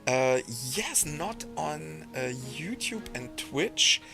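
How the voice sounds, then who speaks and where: A man's voice: fairly high pitch, animated, takes it slowly, gravelly, music in the background. A man hosting a game livestream, reading viewer comments aloud in a hesitant way with many fillers; English is not his first language. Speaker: stream host reading chat. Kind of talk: casual livestream chat.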